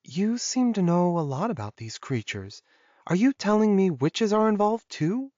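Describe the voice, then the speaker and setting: A man's voice: sits high, sing-song, normal speed, darkish tone, no echo, highs cut off, pitched above the performer's own voice. A man voicing a curious, anxious young woman in an audiobook romance.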